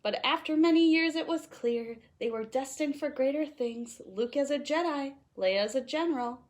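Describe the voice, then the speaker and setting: A woman reading a rhyming children's picture book aloud in an expressive, playful, sing-song way. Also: high-pitched, normal speed. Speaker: storytime reader.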